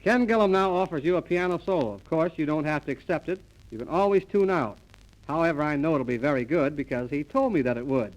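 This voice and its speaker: Lively deadpan 1930s radio comedian announcer, man. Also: a little high, fast, soft background music, poor audio. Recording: restored early 1930s radio transcription disc.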